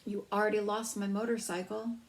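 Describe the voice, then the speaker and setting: A woman's voice: medium pitch, flat delivery, brisk, close to an everyday voice. Woman as a mumbling, drowsy sick boy. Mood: drowsy, reluctant. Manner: mumbling, murmuring. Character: feverish, drowsy young boy, reluctant and disappointed. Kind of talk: read-aloud children's storybook.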